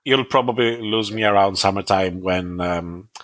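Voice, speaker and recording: A man's voice: fairly low pitch, normal speed, fairly bright tone, no echo. A man in his forties co-hosting a podcast, joking and teasing the others in casual chat. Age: 40s. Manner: joking, teasing, self-deprecating. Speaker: podcast co-host.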